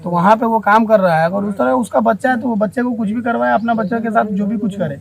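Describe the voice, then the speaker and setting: A man with a distinctly high voice and a Bihari accent answers questions in an unscripted interview. He is emphatic, often saying a phrase again to drive it home. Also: quick pace, vocal fry, a crowd in the background. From Bihar, India. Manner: emphatic, repeats phrases for stress. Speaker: local interviewee.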